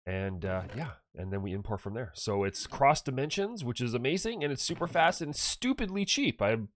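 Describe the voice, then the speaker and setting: A man's voice: medium pitch, big pitch swings, moderate pace, a little hiss or hum. A man with a stuffed-up nose, casually commenting on his own gameplay in a rambling, chatty way. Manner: chatty, rambling, self-correcting. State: stuffed up with allergies. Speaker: gaming channel host.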